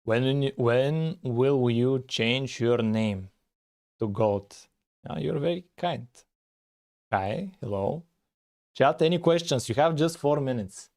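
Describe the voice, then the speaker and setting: Man, mellow dark tone, casual thinking-aloud streamer. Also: mid-range voice, takes it slowly. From Bulgaria. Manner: relaxed, thinking aloud with frequent "uh". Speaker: live-streaming game developer. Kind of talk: casual live coding stream with chat.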